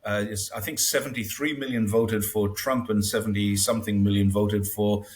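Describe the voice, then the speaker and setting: A man's voice: fairly low pitch, fairly even intonation, moderate pace. A man as an interview guest, talking in a casual, wry way and acting out quotes with short laughs. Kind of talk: casual conversational commentary.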